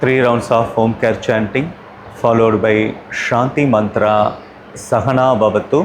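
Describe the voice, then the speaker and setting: A man with a Tamil Indian accent guiding a yoga relaxation class, calm and unhurried, giving instructions in short spaced phrases. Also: medium pitch, slight background noise.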